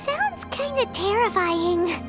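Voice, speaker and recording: A woman voicing a tiny, childlike, excitable and skeptical fairy companion in a video game, with a squeaky, pert voice. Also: takes it slowly, over loud music, full character voice. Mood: wary. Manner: speaks of self in third person, pert. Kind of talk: scripted video game cutscene acting.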